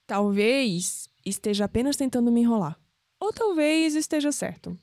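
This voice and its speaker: A woman's voice: on the high side, expressive, moderate pace, a little mellow, dry recording. An actress with a Brazilian accent playing an independent, skeptical, wry young woman in an audio drama. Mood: suspicious. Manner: wry, questioning.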